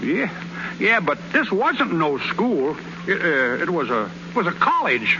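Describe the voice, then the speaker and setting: A young country-boy pitcher who stumbles over one excuse after another in a folksy drawl, his pitch high and swinging widely. This is 1930s radio drama acting on a dull, hissy old broadcast. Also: sing-song, unhurried. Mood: flustered. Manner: stammering, folksy ungrammatical drawl.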